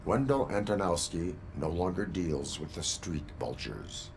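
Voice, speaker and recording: An actor playing a stoic cyborg police officer in a video game, speaking in a terse, formal deadpan. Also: male voice, creaky, a little hiss or hum, heavily acted. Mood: deadpan, resolute. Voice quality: low, measured. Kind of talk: video game cutscene acting.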